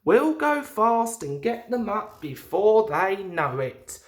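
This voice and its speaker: A woman voicing a brisk adult male engine driver giving practical warnings. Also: mid-range voice, very animated, roomy sound. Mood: urgent.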